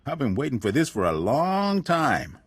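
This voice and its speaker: An actor playing a mocking, excitable male small-time crook in a video game. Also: medium pitch, moderate pace, breathy edge, dry recording, heavily acted. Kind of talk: video game cutscene acting.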